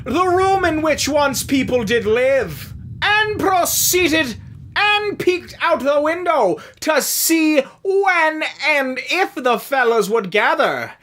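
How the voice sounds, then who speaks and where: A woman narrating a comedy radio play in a playful, mock-grand and deliberate storybook manner. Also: sits high, very animated, fairly bright tone.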